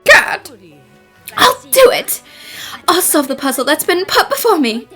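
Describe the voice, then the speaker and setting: A woman plays an eager young cartoon detective. The voice is high and lively, rising and falling with earnest, plucky expression. Faint music is mixed in. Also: unhurried, exaggerated voice. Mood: determined, triumphant. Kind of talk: English fan dub of animated mystery.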